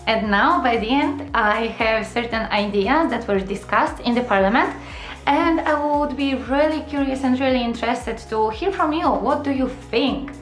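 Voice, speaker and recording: A young woman with a fairly high voice explains things earnestly to her viewers, with "uh" and "um" fillers and direct questions to the audience. Her voice rings in a roomy, echoey space, with faint music underneath. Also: expressive, normal speed. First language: Polish. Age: young adult. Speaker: vlogger. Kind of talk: casual explainer vlog to camera.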